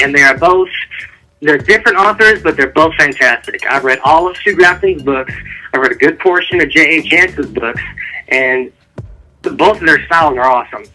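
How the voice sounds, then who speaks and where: Bright, sharp-voiced man, informal guest. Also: fairly high pitch, rough recording. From Dallas, Texas, United States. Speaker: author, interview guest. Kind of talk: casual radio interview answers.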